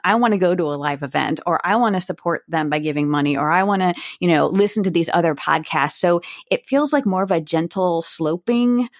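Lowish-voiced woman interviewing, very dry sound. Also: dry recording. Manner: reflective, thinking aloud, checking in with guest. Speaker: podcast host, interviewer. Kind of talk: conversational podcast interviewing.

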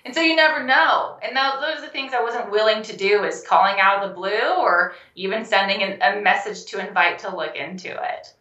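A young adult woman, a health coach and guest, telling her story in a casual interview, animated and laughing often. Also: medium pitch, brisk, few pauses, roomy sound, dull audio. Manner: animated, laughs often, acts out quoted phone calls.

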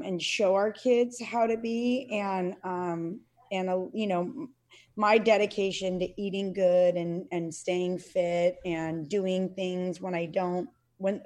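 A woman's voice: lowish voice, a little mellow. A middle-aged woman talking casually as an interview guest, earnest and hesitant, with many fillers and restarts. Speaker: podcast guest, CrossFit athlete and parent.

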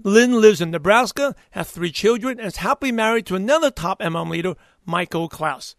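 A man hosting a podcast interview, hoarse from partly losing his voice, with an energetic, hyped-up delivery. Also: high-pitched, a little fast, no room sound, clean studio sound. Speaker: podcast host.

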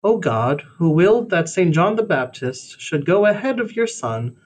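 A man reads a scripted religious essay aloud as narration, in a measured, formal way, phrase by phrase. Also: big pitch swings. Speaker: reader of a religious blog essay. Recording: faint background noise with low rumble.

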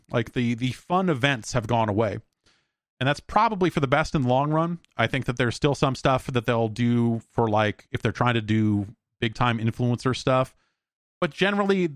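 A man's voice: mid-range voice, no echo. Casual, profane man, very dry sound. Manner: profane, blunt, informal. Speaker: podcast host. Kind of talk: casual rambling solo talk with anecdotes.

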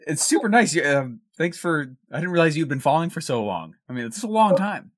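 A man's voice: on the high side, big pitch swings, brisk. A man hosting a podcast, delivering upbeat intros and casual interview talk.

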